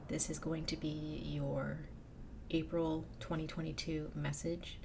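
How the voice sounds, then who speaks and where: Tarot reader, female, gravelly and a little nasal from a cold, chatty with whispered asides. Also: low-pitched, little pitch movement, unhurried, noisy background. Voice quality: slightly nasal. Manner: chatty, drops to a whisper in invocations. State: getting over a cold. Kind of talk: casual tarot reading.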